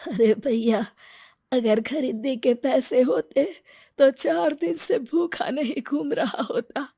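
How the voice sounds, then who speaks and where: A frail, starving beggar, as a woman plays him in a children's cartoon tale. He speaks slowly in a soft, dark, husky voice, humble and pleading. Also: high voice, no echo, clean studio sound, heavily acted. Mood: weary. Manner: slow, weary, pleading. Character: weak, starving, humble poor man.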